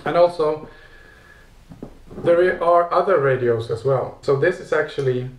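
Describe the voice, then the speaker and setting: A man's voice: on the high side, a little slow, slight background noise. Man in a roomy space. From Sweden. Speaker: video host and gear reviewer. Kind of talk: casual product explainer to camera.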